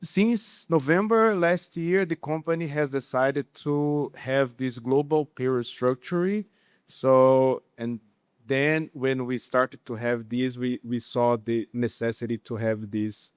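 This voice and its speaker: A man's voice: mid-range voice, smooth, dry recording, studio quality. A man from Brazil, introducing himself on a conference panel and sometimes searching for words. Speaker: global head of payroll, panelist.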